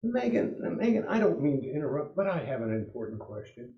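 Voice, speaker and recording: A man's voice: medium pitch, very animated, brisk, dull audio. A man playing a polite, dry-witted announcer in a 1940s-style radio show, recorded at some distance in a roomy space. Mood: playful.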